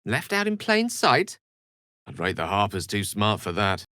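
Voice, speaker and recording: A bookish British wizard from a fantasy video game. His voice sits fairly low but moves with very lively intonation, in a close, dry recording. He is articulate and theatrical, with a wry, verbose turn of phrase. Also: unhurried, clear, resonant tone, no echo, full character voice. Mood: exasperated. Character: eloquent, wry, bookish male human wizard.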